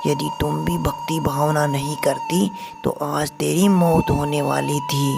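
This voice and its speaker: Woman as a slow, low-pitched wise eagle guru. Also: fairly even intonation, gravelly. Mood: solemn, instructive. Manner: measured, preaching. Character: wise, solemn eagle guru, patient teacher. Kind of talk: Hindi animated moral story acting. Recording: music behind the voice.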